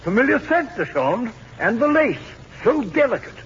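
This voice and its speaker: A man's voice: very high voice, very animated, normal speed, fairly bright tone, exaggerated voice. An actor playing a blustering, suspicious French king, scolding imperiously in 1940s radio comedy style. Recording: dull, noisy 1940s radio broadcast.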